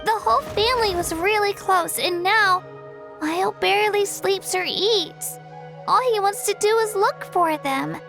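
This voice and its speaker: An earnest, soft-hearted young child from a fantasy video game speaks in a very high, youthful voice. The villager is caring and sincere, and music plays clearly behind the words. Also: female voice, unhurried, heavily acted. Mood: sad, concerned.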